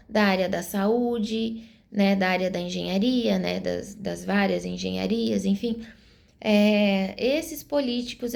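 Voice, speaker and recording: A woman's voice: medium pitch, creaky, a little mellow. A Brazilian woman in her forties giving her opinions to camera in an earnest, explanatory way, thinking aloud as she talks. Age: 40s. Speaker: vlogger.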